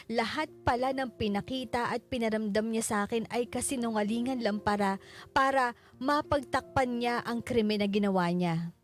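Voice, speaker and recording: A grieving widow acted by a woman tells her story in a radio drama. Her voice sits fairly high and carries hurt and resolve in a solemn, deliberate delivery, with music behind it. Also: natural voice. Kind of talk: scripted radio drama narration. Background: background music.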